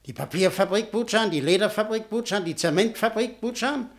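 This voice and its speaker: A man's voice: high voice, animated, fairly quick. An actor playing a blunt, arrogant rich male industrialist who talks curtly and means business, in an old German radio play. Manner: curt, businesslike. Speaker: wealthy industrialist.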